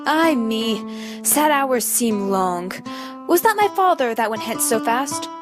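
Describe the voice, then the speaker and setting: Lovesick young nobleman played by a woman, high-pitched, plaintive, theatrical Shakespearean verse, music behind. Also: big pitch swings, takes it slowly. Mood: gloomy, lovesick. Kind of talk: amateur dramatic reading of Shakespeare.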